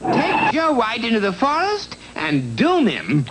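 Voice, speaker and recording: A man puts on a vain cartoon villain, the spoiled son of a wicked queen. His pitch leaps about theatrically and he sounds pompous and pleased with himself. A faint hiss sits on the old TV soundtrack. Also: huge pitch swings, measured pace, a bit bright, heavily acted, poor audio. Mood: indignant. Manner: pompous, self-admiring.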